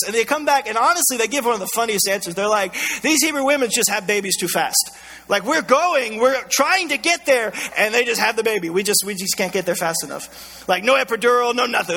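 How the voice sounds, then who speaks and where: High, scratchy-voiced American preacher man in a hall. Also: pushed and bright. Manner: emphatic, colloquial, repeats phrases for emphasis. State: scratchy, strained voice going hoarse. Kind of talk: sermon. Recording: long hall reverb.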